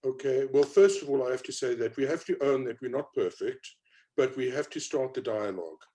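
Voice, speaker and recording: Man, South African accent, middle-aged, mellow tone, reflective, hesitant, panel talk. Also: mid-range voice, a little fast. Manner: reflective, hesitant with many fillers. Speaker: panel speaker. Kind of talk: panel discussion.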